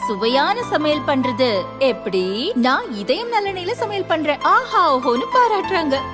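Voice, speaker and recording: A woman delivering an upbeat advertisement pitch for cooking oil. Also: very animated, normal speed. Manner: bright, upbeat sales pitch. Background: loud jingle music.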